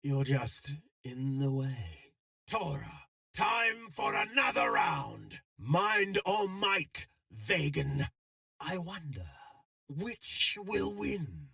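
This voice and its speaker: An actor playing an eloquent, scheming syndicate villain in a video game, with a pompous, florid, theatrical delivery. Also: male voice, a little high, creaky, pushed and bright, dry recording, clean studio sound, exaggerated voice. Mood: scheming, taunting.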